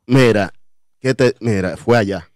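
A man's voice: fairly low pitch, a little slow, a little mellow, no room sound. A Dominican man in his thirties, a guest artist chatting humbly in a radio studio, with lots of fillers and restarts. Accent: Dominican Spanish. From Dominican Republic. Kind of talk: casual interview chat. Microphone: desk mic with headphones.

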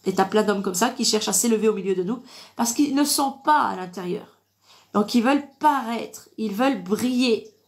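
Woman w stuffy nose doing a casual online bible teaching, kinda high voice, repeats herself to drive points home. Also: normal speed. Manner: didactic, repeats phrases, punctuated with amens. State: congested with a blocked nose. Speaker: online preacher. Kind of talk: informal live Bible teaching with verse reading.

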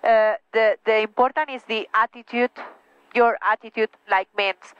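A woman's voice: a little high, fairly even intonation, moderate pace, smooth, no echo. A young woman with a Spanish accent giving flight instruction over an aviation headset. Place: small plane cockpit in flight. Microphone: aviation headset boom mic. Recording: muffled aviation headset intercom, music behind.

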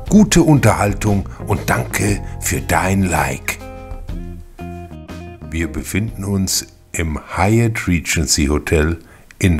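A man reading scripted narration that sets the scenes of a radio play. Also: deep voice.

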